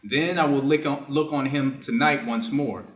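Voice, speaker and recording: A man's voice: medium pitch, moderate pace, fairly bright tone, natural voice. A man playing a reserved, conflicted Japanese doctor in a staged reader's theater drama, formal and guarded in his phrasing. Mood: resolved. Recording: roomy, echoing space.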